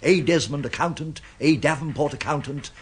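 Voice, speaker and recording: An actor playing a fussy, prim, cautious old accountant in a radio comedy drama, peevish and pompously precise. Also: male voice, fairly high pitch, moderate pace, husky. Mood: suspicious. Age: older.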